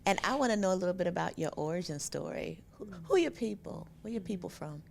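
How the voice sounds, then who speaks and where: A woman's voice: lowish voice, lively intonation, quick pace, vocal fry, slight background noise. A woman co-hosting a conversation podcast who is playful and chatty and asks her guests curious questions. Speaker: podcast co-host.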